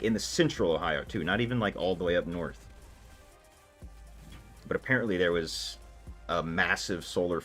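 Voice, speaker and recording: Deep-voiced man chatting on a livestream. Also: fairly bright tone. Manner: loose and chatty, lots of "like" and "dude". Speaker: livestream gamer. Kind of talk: casual livestream chat answering viewers. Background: faint background music.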